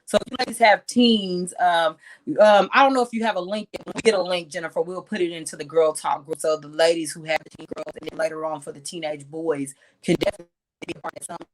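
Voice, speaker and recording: A woman's voice: fairly low pitch, steady tone, fast talker, low-quality recording. Woman, host of an online talk, frank and folksy, speaking over a call mic. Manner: frank, folksy, addresses listeners as ladies and sis. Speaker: discussion host. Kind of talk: casual group discussion.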